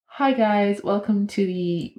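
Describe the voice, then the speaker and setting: A young woman co-hosting a casual podcast in Ireland, chatty and quick to laugh, explaining things at length. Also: mid-range voice, a little mellow, faint music behind, rough recording. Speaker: podcast co-host. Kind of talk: casual chat. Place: room in Ireland.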